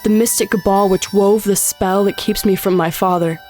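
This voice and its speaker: A woman's voice: mid-range voice, fairly even intonation, moderate pace, a little mellow, faint music behind, natural voice. A woman acting the part of a cold, hateful demoness in an audio drama, with formal, solemn delivery. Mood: cold, brooding. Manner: formal, incantatory, solemn phrasing.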